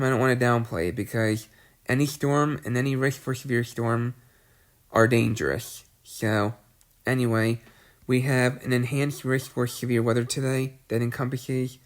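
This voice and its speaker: Congested man, flat delivery. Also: mid-range voice. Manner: clears throat often, restarts phrases; reads long lists of places in a level voice. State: congested with a cold. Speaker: weather video host. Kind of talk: unscripted weather briefing.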